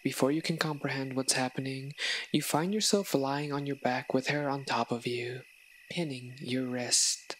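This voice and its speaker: A woman narrating a scripted second-person story in a measured way, with dramatic pauses. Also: rumbling low, fairly even intonation, slight background noise, poor audio. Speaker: narrator, audio roleplay voice performer.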